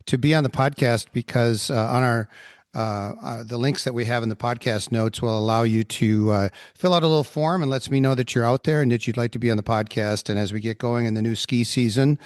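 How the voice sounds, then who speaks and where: A man's voice: medium pitch, darkish tone, no room sound, studio quality. A man hosting a podcast in an easygoing way, talking with frequent fillers. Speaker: podcast host.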